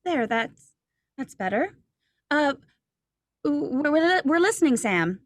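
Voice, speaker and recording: A woman's voice: high-pitched, big pitch swings, slow pace, no echo, clean studio sound, exaggerated voice. A woman playing a sweet, teasing young small-town woman in love, acted in 1940s radio drama style. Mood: coy, nervous. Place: indoors, recorded over a video call.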